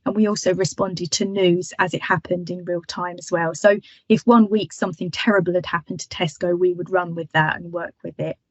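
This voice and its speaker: A woman lecturer with a husky voice giving a webinar talk, chatty and full of ums and restarts. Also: fairly low pitch, no echo, rough recording.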